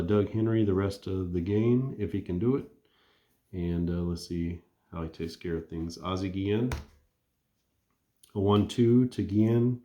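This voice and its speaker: A man with a low, warm voice talks through a tabletop baseball replay at an easy, conversational pace. He thinks aloud between rolls as if calling the game himself. His voice rings a little in a roomy, echoing space. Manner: relaxed and conversational, thinking aloud while rolling. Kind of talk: casual play-by-play of a dice baseball game.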